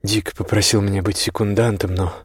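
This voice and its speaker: A man's voice: lowish voice, flat delivery, a little fast, slightly rough, dry recording, studio quality. A man voicing a firm, frank marquis in an audiobook, speaking sternly and insistently as a concerned friend. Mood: probing.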